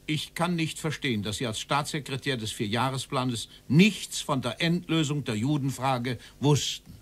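An actor playing a stern interrogator in a documentary radio drama, formal and probing in manner. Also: male voice, medium pitch, normal speed, close to an everyday voice. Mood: insistent, accusing. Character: stern male interrogator questioning a Nazi-era official.

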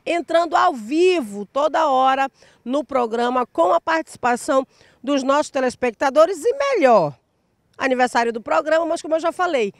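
A woman's voice: high voice, dry recording. A Brazilian woman in her thirties giving an upbeat live TV field report on a handheld mic in an outdoor square, stretching out key words for emphasis. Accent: Brazilian Portuguese. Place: outdoor public square in sunshine.

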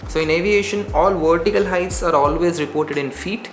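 A man's voice: a little high, normal speed. A young man with an Indian English accent, earnestly explaining an aviation story to camera as a pilot. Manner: earnest, gestures a lot while explaining. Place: indoor room with shelves. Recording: roomy echo, faint music behind.

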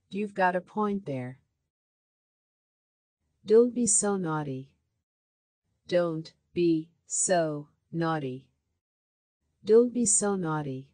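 A woman reading short practice phrases for a language course, enunciating each one clearly and repeating it. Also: fairly low pitch, no room sound.

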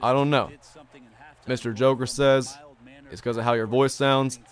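A man's voice: medium pitch, level tone, unhurried. A young man live-streaming a basketball video game, talking upbeat and repeating himself as he reads his chat, his voice a little strained from long streaming. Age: young adult. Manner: upbeat, chatty, repeats his own phrases. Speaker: gaming streamer. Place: bedroom gaming setup, indoors.

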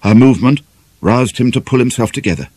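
A man's voice: mid-range voice, expressive, brisk, a little mellow, no room sound. A man with a British accent reading a novel aloud as a dramatic audiobook narrator.

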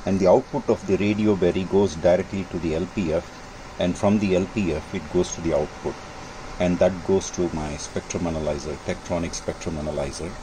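Low-voiced older man, noisy recording. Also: steady tone, fairly quick. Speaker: amateur radio hobbyist presenting. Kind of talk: informal technical demonstration. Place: indoor home radio workshop. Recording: clearly noisy home workshop recording.

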